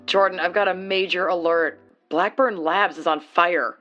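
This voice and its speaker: Actress as nervous female mission-support sidekick for audio drama; lowish pitch, terse delivery, soft music underneath. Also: steady tone, normal speed, muffled recording, lightly acted. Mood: nervous, alarmed. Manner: nervous, clipped alert delivery. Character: nervous female tech ally of a superhero.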